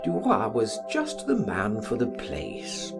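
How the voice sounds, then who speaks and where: Slow, theatrical man reading a story over music. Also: medium pitch, wildly swooping pitch. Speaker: audiobook reader. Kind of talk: expressive literary narration. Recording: music behind the voice.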